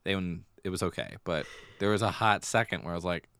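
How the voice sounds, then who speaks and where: An American man with a low, smooth voice talks casually as a podcast co-host. His delivery is wry, and the close recording has very little room sound. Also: a little fast, fairly bright tone, dry recording. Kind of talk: casual theatre news chat.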